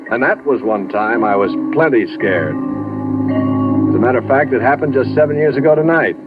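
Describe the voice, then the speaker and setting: An American man playing a weary, haunted war veteran who tells his story in a reflective, deliberate radio drama style. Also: mid-range voice, close to an everyday voice. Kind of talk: vintage radio drama acting and narration. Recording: muffled vintage radio recording, music behind.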